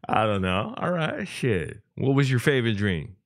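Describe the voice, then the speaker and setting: A man's voice: mid-range voice, sing-song, unhurried, creaky. A man chatting casually as an interviewer, in informal, slangy, exclamatory speech full of swearing. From Los Angeles, USA. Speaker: interviewer, podcast host. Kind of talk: casual chat. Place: remote online call.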